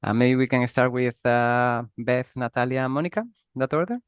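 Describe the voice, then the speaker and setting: A man's voice: medium pitch, normal speed, clean tone, no room sound, highs cut off. A man hosting an online panel on a video call, introducing guests with a hesitant delivery full of ums. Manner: hesitant, lots of uh and um. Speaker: host and facilitator.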